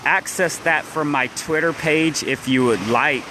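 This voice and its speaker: A man's voice: fairly high pitch, a little slow, background noise. A young man with a downstate Illinois accent, rambling casually with lots of fillers while streaming from a phone at a beach at dawn. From central Illinois. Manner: rambling, many restarts and "like" fillers. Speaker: vlogger. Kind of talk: casual livestream chat. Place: beach at dawn, outdoors by the waves. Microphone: phone held while walking. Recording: phone video with loud surf behind. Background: surf and waves.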